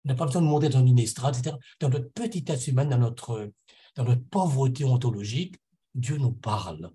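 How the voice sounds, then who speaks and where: A middle-aged man from Réunion island speaks at a conference with a warm, mellow tone, sharing his own spiritual experience. He is earnest and reflective, often hunting for the right word and repeating himself mid-thought. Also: mid-range voice, lively intonation, fairly quick. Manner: searching, hesitant, restarts and repeats words. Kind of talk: personal spiritual testimony at a conference.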